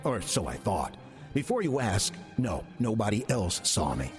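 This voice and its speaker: A man playing a wry demon in the guise of an older church usher in an audio drama, with a husky voice and dry, deadpan delivery. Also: on the low side, soft background music. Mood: wry narrating. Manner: dry, deadpan, unhurried sarcasm. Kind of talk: audio drama acting with first-person narration.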